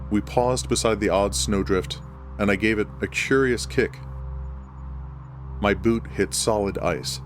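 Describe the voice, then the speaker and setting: Male horror narrator, very low register, scripted first-person storytelling with clear music underneath. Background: background music.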